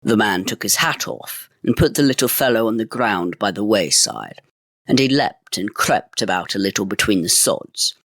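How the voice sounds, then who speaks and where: A man's voice: lowish voice, sing-song, moderate pace, a bit bright, no echo, studio quality. A man reading a fairy tale aloud in a dramatic, old-fashioned storytelling manner.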